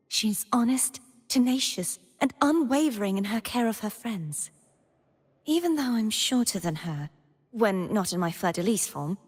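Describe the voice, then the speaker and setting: A young woman voicing a gentle, wistful and earnest maiden knight in a video game, speaking softly in measured, formal phrases. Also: fairly high pitch, long reverb tail. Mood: wistful, tender. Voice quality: breathy. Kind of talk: scripted game character voice lines.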